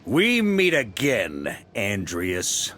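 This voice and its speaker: An actor playing a roguish, confident middle-aged knight commander in a video game, casual and wry. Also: male voice, lowish voice, wildly swooping pitch, extremely slow, fairly bright tone, slight background noise, full character voice. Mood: grave.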